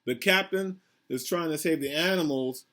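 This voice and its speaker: A man, a school principal, reading a picture book aloud to young children in a simple, instructive manner, pausing to ask questions. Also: fairly high pitch, fairly bright tone.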